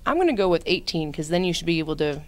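A woman's voice: lowish voice, very animated, rapid-fire, a little hiss or hum. A woman in her twenties giving casual opinions into a handheld interview microphone indoors. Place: indoor room with brick wall. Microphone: handheld microphone held out by interviewer.

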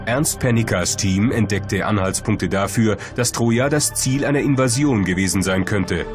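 A man's voice: fairly low pitch, normal speed. A man reading scripted documentary narration as a voice-over. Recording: voice-over over loud background music. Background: prominent music.

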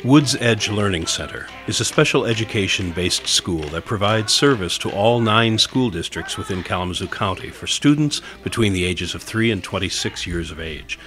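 Man, American accent, lower pitch, scripted documentary narration, light music underneath. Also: lively intonation, moderate pace, few pauses, a bit bright. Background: background music.

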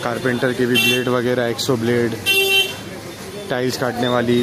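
A man's voice: mid-range voice, monotone, moderate pace. A man with a Mumbai accent giving a casual, informal walking-tour commentary as a vlogger, often repeating himself as he points things out. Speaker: vlogger guiding a market tour. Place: outdoors on a busy market street. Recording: loud street traffic and ambience. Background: traffic and urban street noise.

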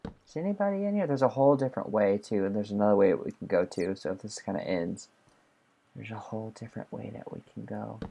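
A voice doing casual commentary while playing a video game, chatty and excitable, talking both to itself and to the viewers. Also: mid-range voice, fast, vocal fry. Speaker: gameplay commentator. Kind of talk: casual let's play commentary.

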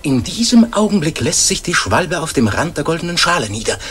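An actor playing a learned doctor giving formal, suspenseful live commentary on a silent scene in a radio play. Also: male voice, on the high side, very animated, fairly quick, a bit bright, faint music behind.

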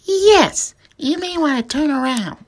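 A plucky little cartoon rabbit girl acted by a woman, pitched high and bouncing around in tone. She is chirpy and eager, exclaiming and pleading as she goes. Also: expressive, unhurried. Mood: excited, bold. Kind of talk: amateur cartoon voice acting.

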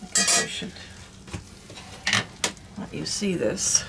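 An older woman with a hoarse throat, chatting casually through a cooking vlog in a small camper kitchen. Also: lowish voice, expressive, slow, very noisy. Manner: chatty, homey, rambling. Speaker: cooking vlogger.

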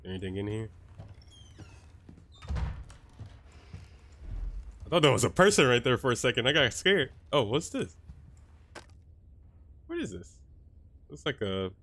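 A man's voice: medium pitch, sing-song, measured pace, pauses often, a bit bright. A young man giving casual, rambling gameplay commentary from his room, slightly stuffy from a cold and dropping "bro" and "like" often. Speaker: gameplay streamer. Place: his own room, lights off.

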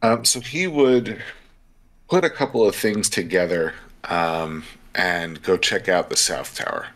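A man with a very low yet forceful, bright voice plays a tabletop game from home. He casually talks through his character's moves. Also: unhurried. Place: home room on a video call.

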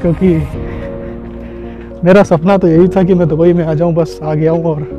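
A young man from India narrates his walk through sunny streets in a chatty travel-vlog manner. His voice sits high, and music runs clearly beneath it. He is recorded outdoors on an earbud mic with the phone held at arm's length. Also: little pitch movement, moderate pace. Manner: chatty, talks straight to the camera while walking. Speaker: vlogger. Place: sunny city streets and a bus stop, outdoors. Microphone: wireless earbud mic, phone held at arm's length.

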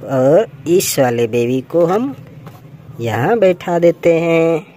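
A man narrates toy play for small children in a high voice. He takes his time, exclaiming and repeating little interjections in a sing-song way. There is clear ambient noise behind him. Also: sing-song, slow, dark tone. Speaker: toy channel presenter.

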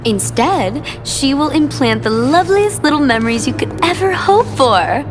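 A woman voicing a sadistic, sweetly cruel young villainess in a video game, taunting with drawn-out mock sympathy. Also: high-pitched, big pitch swings, music in the background. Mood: gleefully taunting. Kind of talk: video game cutscene acting.

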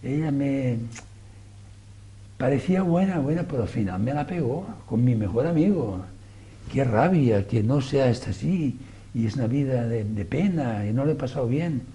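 A self-pitying, betrayed husband, spoken a little higher and softer than the teller's usual voice, as an older man acts him out in a joke. The voice keeps a dark, mellow tone. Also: lively intonation, normal speed, natural voice.

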